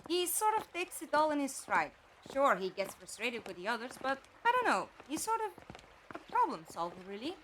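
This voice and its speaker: A woman acting in an audio drama as a practical, inquisitive captive who talks in a direct, conversational, gently wry way. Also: sits high, very animated, normal speed, a little hiss or hum, low-quality recording.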